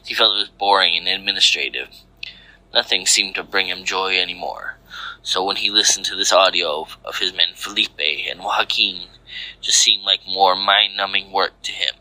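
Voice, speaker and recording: A man's voice: low voice, fairly even intonation, moderate pace, pushed and bright, rough recording. A man reading scripted action fiction aloud as a storyteller. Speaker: story narrator. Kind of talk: scripted narration of fan fiction.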